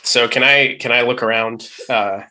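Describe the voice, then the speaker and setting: A man's voice: mid-range voice, steady tone, moderate pace, pushed and bright, rough recording. A man playing a tabletop roleplaying game, talking casually and briefly.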